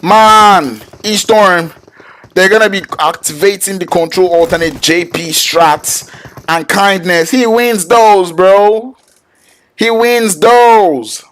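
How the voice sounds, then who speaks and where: A man, a Yoruba speaker, calling an esports match play-by-play in an excitable, slangy way. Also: sits high, takes it slowly. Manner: excitable, slangy, jokes with chat. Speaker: esports caster.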